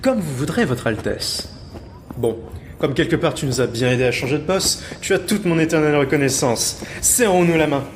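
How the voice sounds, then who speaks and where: A man's voice: on the high side, animated, normal speed, slight background noise, close to an everyday voice. An actor playing a glib, mocking male thief in an audio drama, with a casual, teasing, slangy manner. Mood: mocking, playful. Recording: reverberant space, faint background ambience.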